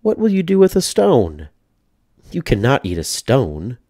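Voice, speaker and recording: A man voicing a little old lady in a storybook, simple and full of slow, drawn-out wonder. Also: mid-range voice, wildly swooping pitch, warm tone, dry recording, clean studio sound, voice pushed up, full character voice. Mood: puzzled.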